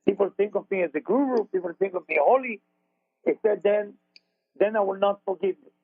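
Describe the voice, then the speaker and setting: An Israeli-accented man with a high, gravelly voice talks earnestly as a spiritual teacher on a radio show. His English is broken and his phrasing plain and confessional. The sound is dry and thin, like a phone line. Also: brisk, no echo. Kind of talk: radio talk, storytelling.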